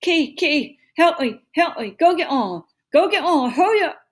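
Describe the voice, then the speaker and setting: A woman's voice: high-pitched, animated, unhurried, pitched above the performer's own voice. A woman voicing a panicked, tearful teenage boy in a storybook, mumbling and pleading for help. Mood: desperate. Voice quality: muffled, mumbling as if mouth is full. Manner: wailing, pleading.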